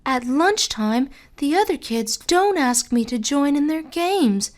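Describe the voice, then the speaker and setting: A male narrator voicing a sad, sporty young schoolgirl who speaks softly and tearfully. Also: very high voice, expressive, slow, pitched above the performer's own voice, full character voice. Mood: sobbing. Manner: soft, tearful pleading.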